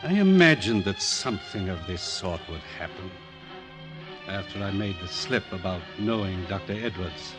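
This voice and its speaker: Low-voiced, suave, menacing older doctor. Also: male voice, animated, normal speed. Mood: cool menace. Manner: urbane, dry, condescending. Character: suave, menacing senior male psychiatrist, secretly murderous. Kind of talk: old-time radio drama acting. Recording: restored vintage radio, orchestral music behind.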